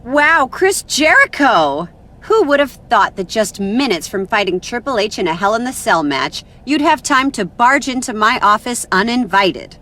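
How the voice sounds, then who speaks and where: A woman's voice: fairly high pitch, sing-song, sharp tone. A woman playing a smug, taunting wrestling boss in a video game cutscene. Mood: mock surprise. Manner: taunting, deliberate threats.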